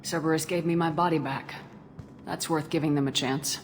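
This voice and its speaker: A woman's voice: fairly even intonation, normal speed, natural voice. An actress playing a tough, wary female military commander in a video game, blunt, direct and challenging. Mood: firm, wary. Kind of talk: video game dialogue acting. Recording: reverberant, noisy game audio with rumble.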